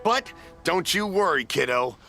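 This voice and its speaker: A generous townsman running a festival stall in a video game. The voice is bright and very animated, with a folksy, jovial way of talking. Soft music sits behind it. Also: high-pitched, measured pace, gravelly, full character voice. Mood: friendly, teasing. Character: friendly, generous festival mask vendor, common townsman. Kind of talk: video game character dialogue.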